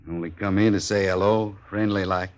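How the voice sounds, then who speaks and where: An American actor playing a cocky drifting cowboy who needles with false friendliness and folksy talk in a 1950s Western radio drama. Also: male voice, low-pitched, steady tone, fairly quick, lightly acted. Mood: sly, taunting. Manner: taunting, drawling folksy talk.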